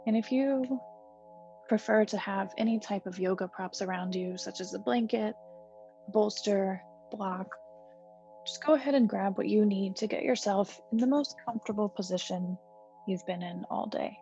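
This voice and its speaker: A woman meditation teacher guiding a healing breath meditation in a soft, slow, soothing way with gentle pauses. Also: medium pitch. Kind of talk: guided meditation. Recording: slightly dull recording with soft music.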